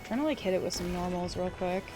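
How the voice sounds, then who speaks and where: A woman livestreaming a game, giving chatty, excitable commentary and swearing freely. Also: lowish voice, fast, music in the background. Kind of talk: casual gameplay commentary.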